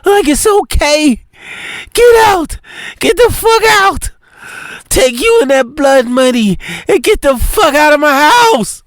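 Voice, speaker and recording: Brief: man putting on a heartbroken young wife, shrill and tearful, voice pushed very high. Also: lively intonation, slow, a bit bright, no echo, studio quality, exaggerated voice. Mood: furious. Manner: shrill, sobbing and screaming.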